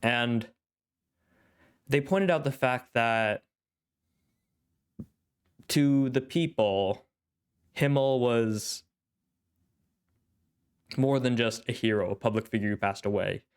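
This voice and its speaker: A young man hosting an anime reaction channel in casual solo commentary, thoughtful and rambling with many fillers, his nose congested and throat needing clearing. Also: mid-range voice, slow, pauses often.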